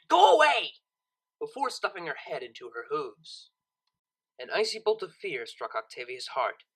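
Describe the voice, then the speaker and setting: A narrator reading a scripted story expressively, with dramatic, deliberate phrasing. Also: medium pitch, bright, forceful tone, low-quality recording. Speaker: narrator, audiobook reader.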